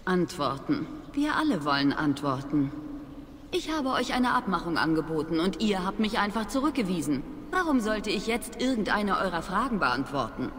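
A woman's voice: lowish voice, sing-song, a bit bright. Proud young witch, aloof, formal, disdainful, video game drama, long reverb, noisy ambience. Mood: bitter, disdainful. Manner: measured, formal, disdainful speech.

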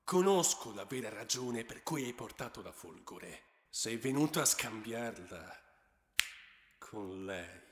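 A man voicing a scornful, menacing male god of the underworld in slow, low tones, with a heavy reverb effect on his voice. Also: animated, background noise. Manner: slow, low, threatening delivery. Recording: cavernous echoing reverb effect.

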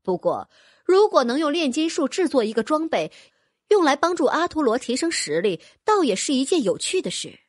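A woman narrating a fantasy audiobook with a lively, expressive reading. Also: high voice, normal speed, dry recording, clean studio sound. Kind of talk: scripted narration.

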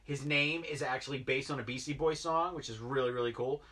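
Man in his 30s, toy-collector vlogger, rambling chat, husky edge, a bit off-mic. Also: medium pitch, fairly even intonation, a little fast, bright, forceful tone, recorded from a distance. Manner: rambling, filler-heavy, enthusiastic about toys. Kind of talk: casual unboxing chat. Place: basement toy room, indoors.

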